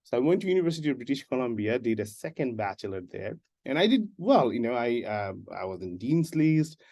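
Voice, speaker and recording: A man from Bangladesh, guest on an interview, telling his career story in an animated, joking way. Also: medium pitch, fairly quick. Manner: animated, jokey storytelling with laughs. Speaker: guest, animation production supervisor and writer-director. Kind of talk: casual interview talk.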